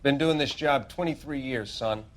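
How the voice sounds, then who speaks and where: An American man playing a dry, seasoned, middle-aged coroner in a crime video game, with a deadpan delivery. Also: mid-range voice, normal speed, soft background music, natural voice. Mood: dry, matter-of-fact. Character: dry, seasoned male coroner with gallows humour. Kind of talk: dramatic video game acting.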